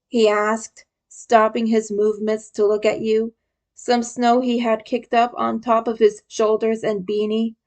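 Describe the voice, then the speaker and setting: A woman narrates a piece of fan fiction in a high voice. She takes her time over the scripted lines, reading at a slow, measured pace. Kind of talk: scripted story narration in second person.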